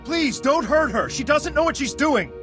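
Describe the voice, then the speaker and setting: A man's voice: very high-pitched, lively intonation, normal speed, fairly bright tone. An actor voicing an anguished, lovesick young male Jedi captive in a video game, pleading in distress. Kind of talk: dramatic video game dialogue. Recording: music playing over the voice.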